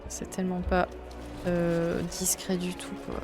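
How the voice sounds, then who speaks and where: Chatty young woman streamer, lowish voice. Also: monotone, unhurried. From Belgium. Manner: chatty, swears often, thinks aloud. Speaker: streamer playing a video game. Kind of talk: casual let's-play chat. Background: faint game music.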